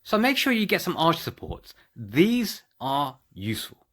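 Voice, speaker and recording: Casting: male ad read, salesy, very lively pitch, speaks straight to listeners. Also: measured pace, a little hiss or hum. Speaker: ad presenter. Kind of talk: upbeat product pitch.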